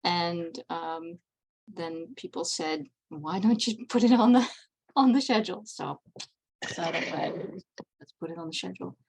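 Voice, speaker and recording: A woman's voice: lowish voice, measured pace, vocal fry, a little mellow, husky. A Dutch woman whose first language is Dutch, giving a casual, chatty convention presentation over a video call, with wry asides. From Netherlands.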